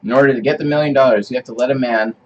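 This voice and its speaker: A man's voice: medium pitch, steady tone, rapid-fire, lo-fi sound, slight background noise. A man co-hosting a podcast, talking in a casual, joking way. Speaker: podcast co-host.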